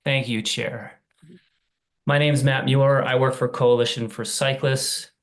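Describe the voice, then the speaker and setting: A man's voice: mid-range voice, steady tone, a little slow. A man from a nonprofit giving a plain, matter-of-fact public comment over a video call. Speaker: nonprofit advocate.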